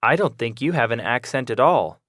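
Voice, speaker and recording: A man's voice: mid-range voice, lively intonation, a little fast, fairly bright tone, dry recording, studio quality. A man playing an eager, polite student who asks plain questions in a scripted classroom dialogue. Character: young adult male student, eager, polite.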